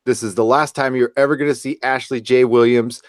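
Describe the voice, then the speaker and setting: A man's voice: medium pitch, fairly even intonation, fairly quick, no echo, studio quality. A man hosting a podcast in casual discussion, speaking bluntly and informally and repeating words for emphasis. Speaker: podcast host.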